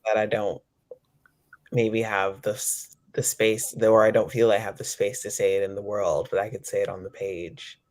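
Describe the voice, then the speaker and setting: A man's voice: fairly low pitch, flat delivery, normal speed. A man speaking as a poet being interviewed, reflective and tentative, repeating words as he works out a thought.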